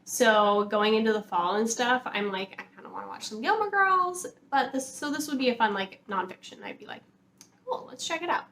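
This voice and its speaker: A woman's voice: a little high, expressive, a little fast, fairly bright tone. A woman talking casually to viewers as a book vlogger, gushing and enthusiastic, often dropping "like" into her sentences. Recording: clear background noise, room ambience.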